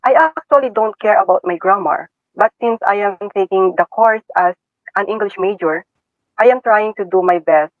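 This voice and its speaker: A man's voice: high voice, normal speed, dry recording, rough recording. A young man with a Filipino accent, a student guest on a podcast, giving a prepared personal account. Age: young adult.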